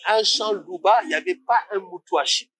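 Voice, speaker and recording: A man's voice: high voice, normal speed, a bit bright, low-quality recording. A man from Congo, a musician talking as a guest in a casual interview, passionate and rising to indignant questions.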